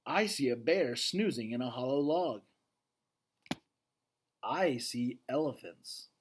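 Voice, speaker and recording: Man reading as a gentle, slow-spoken wistful gorilla. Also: medium pitch, expressive, a little hiss or hum. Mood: calm, reflective. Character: Ivan, gentle adult male gorilla, wistful and earnest. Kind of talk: children's novel read aloud, first person.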